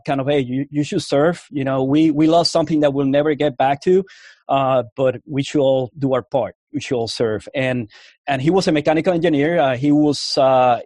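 A man's voice: mid-range voice, a little mellow, dry recording, studio quality. A man from Puerto Rico with a Cuban accent, an Army veteran telling his story in a casual interview, rambling with many restarts and fillers.

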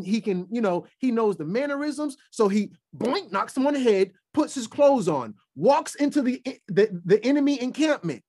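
Rambling, animated man telling a story. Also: sits high. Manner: animated, rambling with many restarts. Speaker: podcast co-host. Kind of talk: casual storytelling chat.

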